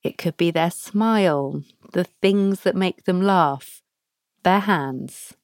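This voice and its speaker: A woman hosting a podcast, leading listeners through a guided meditation with soft prompts and long pauses. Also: lowish voice, no echo, clean studio sound. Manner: slow, soft, pausing between prompts. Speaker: podcast host guiding a practice.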